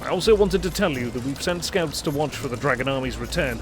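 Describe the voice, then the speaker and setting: A man's voice: mid-range voice, lively intonation, fast, music in the background, close to an everyday voice. A man playing a dutiful cavalry captain of fantasy knights in an audio drama, formal, courteous and military in manner. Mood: earnest, courteous.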